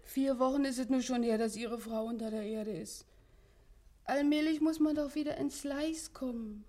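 An actress playing a practical, caring village housemaid in a German radio play, deferential and coaxing in manner. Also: female voice, high voice, normal speed, dark tone, close to an everyday voice. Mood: consoling.

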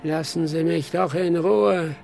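A man's voice: on the high side, fairly even intonation, unhurried, creaky, a little mellow. An actor playing a weary, troubled man in a stylized radio play, plaintive in manner. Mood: weary, rejecting. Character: weary, troubled soul wanting to be left alone. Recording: studio radio drama with music behind.

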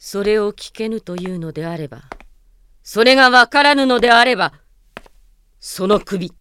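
Woman as a clear-voiced, commanding warrior princess. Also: medium pitch, normal speed, bright, forceful tone, no echo, natural voice. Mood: resolute. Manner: formal, commanding, resolute. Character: proud, stern young warrior princess and envoy. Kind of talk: radio drama acting.

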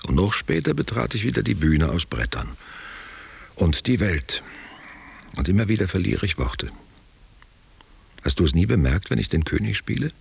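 Very deep, gravelly old stage actor. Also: male voice, sing-song, full character voice. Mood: melancholy, musing. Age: older. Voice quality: gravelly, husky. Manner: musing, halting, poetic. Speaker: actor in a radio play. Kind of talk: literary radio play monologue, intimate and theatrical.

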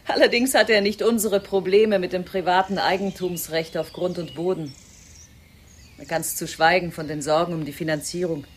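An actress playing a self-assured, patronizing zoo director in a German radio drama, declamatory and condescending. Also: female voice, on the low side, fairly even intonation, normal speed, a little hiss or hum, lightly acted. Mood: exalted, proud. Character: Andrea Burden, grandly self-assured, patronizing woman running a zoo project.

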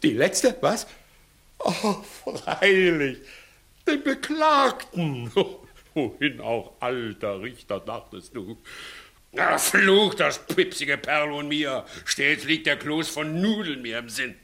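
An actor playing an evasive, flustered village judge who swings theatrically between bullying and coaxing in a classic German radio comedy. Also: male voice, on the high side, very animated, slow pace, pushed and bright, full character voice. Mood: flustered, muttering. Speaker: judge in a courtroom.

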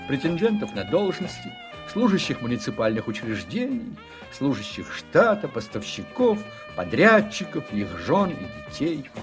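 A man narrating a radio drama from a script, in an expressive, measured storytelling manner. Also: medium pitch.

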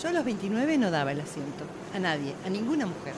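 A woman's voice: mid-range voice, big pitch swings, quick pace, lightly acted. A woman with an Argentine accent playing a stubborn, scolding older lady passenger in an amateur radio drama. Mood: stubborn, insistent. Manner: insistent, scolding, old-fashioned. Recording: very noisy urban background ambience.

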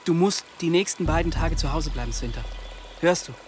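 An actor playing a secretive, pious young lover in a German audio drama, speaking in an earnest, pleading, hushed way. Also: male voice, fairly high pitch, a little fast, a little hiss or hum, natural voice. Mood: urgent, secretive. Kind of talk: German audio drama acting, intimate dialogue.

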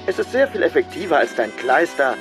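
A man's voice: fairly high pitch, sing-song, a little fast, music in the background. An actor playing a boastful man presenting a gift in declarative, one-upping lines in a radio play.

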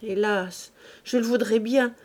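A woman's voice: a little high, fairly even intonation, unhurried, darkish tone, close to an everyday voice. A long-suffering, dying mother from a classic novel , voiced by a woman reader. She beseeches her husband plaintively in a raised voice, frail and sorrowful. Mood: weak, sorrowful.

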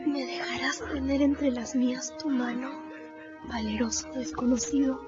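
Slow, high-pitched emotional young woman. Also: flat delivery. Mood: tender, fearful. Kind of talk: Spanish-language radio drama acting. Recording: dull radio recording with music behind.

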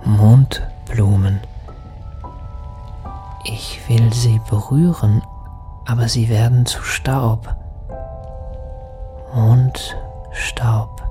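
An actor playing a dreamy lost spirit in a radio play, speaking in hushed, halting, trance-like fragments. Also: male voice, mid-range voice, steady tone. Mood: wondering, remembering. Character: dreamy male spirit, a wandering lost soul. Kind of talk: poetic radio play monologue. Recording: studio radio drama with music underneath. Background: music.

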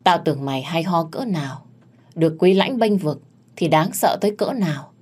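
A woman's voice: on the low side, lively intonation, normal speed, a little mellow, husky. A woman voicing a haughty, spiteful young female rival in an audio story, sneering and insulting. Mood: mocking.

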